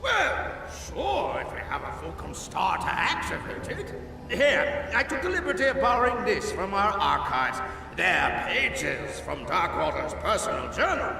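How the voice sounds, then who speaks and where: An actor playing a grandiose, eager island leader with a theatrical, ceremonious manner in an animated video game. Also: male voice, sits high, a little slow, vocal fry, exaggerated voice. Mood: helpful. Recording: echoey hall, distant, music behind.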